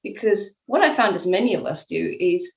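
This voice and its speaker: A woman's voice: on the low side, expressive, moderate pace, gravelly. A middle-aged woman from England, answering interview questions in a reflective, conversational way, often restarting her sentences. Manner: reflective, with frequent restarts and soft laughs. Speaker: author and life coach guest. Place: at home in Spain, remote call. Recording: echoey room, slightly dull line.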